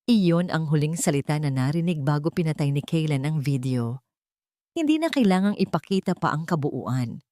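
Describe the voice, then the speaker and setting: Expressive woman storyteller, lowish voice. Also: no room sound, studio quality. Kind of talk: dramatic scripted narration.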